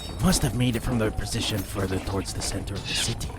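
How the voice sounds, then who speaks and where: A man's voice: on the low side, fast talker, lightly acted. Man acting a gallant, formal ninja over music. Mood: wary. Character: gallant, resolute non-human male ninja. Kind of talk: dramatized audiobook acting. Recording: music bed under voice.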